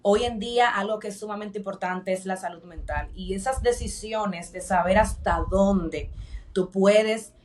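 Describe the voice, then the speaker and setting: A woman's voice: mid-range voice, unhurried, a little mellow, distant mic, slight background noise. A woman with a Dominican Spanish accent telling her personal story as a podcast guest, emphatic and repeating phrases for weight. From Dominican Republic.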